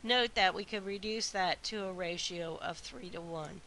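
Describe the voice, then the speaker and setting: A woman math instructor recording a lecture while congested from a sinus infection, her voice weak and stuffy, explaining slowly with frequent uhs and ums. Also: lowish voice, sharp tone, poor audio. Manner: slow, methodical explaining with frequent uh and um. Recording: faint hiss.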